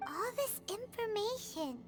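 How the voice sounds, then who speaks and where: A woman voicing a tiny, childlike, excitable and naive fairy companion in a video game, with a squeaky voice. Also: unhurried. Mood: curious. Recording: music behind the voice.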